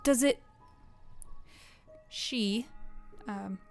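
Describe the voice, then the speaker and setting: A woman's voice: medium pitch, extremely slow, gravelly, soft background music. An actress playing a curious, skeptical young woman journalist who stammers when flustered and asks probing, wry questions. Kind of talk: audio drama table read.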